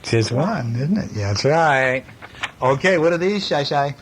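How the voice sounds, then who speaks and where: Coaxing dad on a noisy home recording. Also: male voice, fairly high pitch, animated, measured pace. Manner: coaxing, praising and prompting with questions. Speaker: father reading to his young children. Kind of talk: casual picture-book chat with small children, asking questions.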